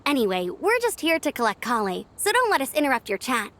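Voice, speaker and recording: A woman's voice: sits high, sing-song, quick pace, a bit bright, a little hiss or hum. A woman voicing a perky, outgoing young scout in a video game, eager, friendly and chatty. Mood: cheerful. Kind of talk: video game character voice acting.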